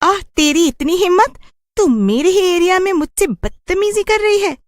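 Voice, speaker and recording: A cranky village witch from a horror cartoon. Her voice sits very high and swings about as she scolds indignantly. She guards her territory fiercely. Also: expressive, moderate pace, no room sound, studio quality.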